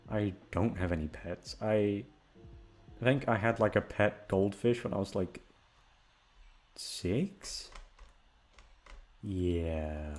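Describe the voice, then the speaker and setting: A young British man chatting casually and hesitantly, meandering, repeating words and gently mocking himself. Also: on the low side, creaky. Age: young adult. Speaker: voice actor talking to listeners. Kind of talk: casual rambling chat.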